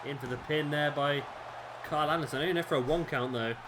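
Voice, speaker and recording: A man, his voice a little hoarse, doing casual play-by-play commentary over a wrestling video game, rambling with fillers and correcting himself. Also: on the high side, quick pace, background noise. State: slightly hoarse, losing his voice. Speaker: gameplay commentator.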